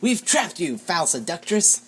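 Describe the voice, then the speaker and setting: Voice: medium pitch, big pitch swings, a little slow, a little hiss or hum, voice pushed up. A reader voicing a brash, blustering heroine who is a space commander. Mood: blustery.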